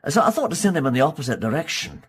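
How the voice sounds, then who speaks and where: A humble Scottish country farmer for radio drama. His husky voice rises and falls widely. He speaks haltingly and respectfully, with an anxious, apologetic manner. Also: on the high side, big pitch swings. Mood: earnest, respectful.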